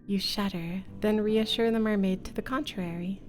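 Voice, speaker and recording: A woman's voice: medium pitch, steady tone, normal speed, faint music behind. A woman livestreamer reading a visual novel aloud and chatting casually, with a playful, affectionate manner. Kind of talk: casual stream chat and read-aloud narration.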